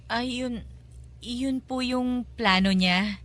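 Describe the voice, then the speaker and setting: A woman's voice: a little high, takes it slowly, fairly bright tone. A woman playing an uneasy young girlfriend in a radio drama, hesitant and polite, with a Filipino accent. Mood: timid. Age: young adult. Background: background music.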